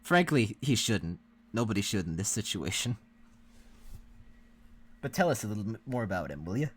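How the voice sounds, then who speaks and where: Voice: mid-range voice, very animated, moderate pace, slightly rough. An easygoing co-host chatting casually and reassuringly during a reaction.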